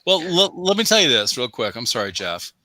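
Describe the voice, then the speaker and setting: Bright, forceful man talking football. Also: medium pitch, big pitch swings, a little fast, no room sound. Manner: repeats himself for emphasis. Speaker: sports show panelist. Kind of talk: casual football chat.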